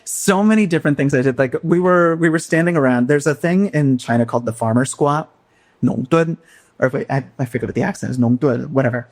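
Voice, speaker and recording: A man co-hosting a podcast, telling travel stories in a loose, animated way. His voice sits at an ordinary middle pitch, and he breaks into laughter as he talks. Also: brisk. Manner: animated storyteller, laughs often. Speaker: podcast co-host. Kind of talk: casual chat.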